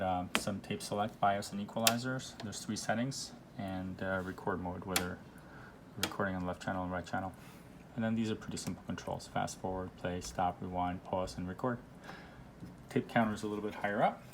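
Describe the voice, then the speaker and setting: Deep, gravelly man giving a casual demo. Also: background noise. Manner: unscripted, halting, explanatory. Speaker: presenter demonstrating vintage audio gear.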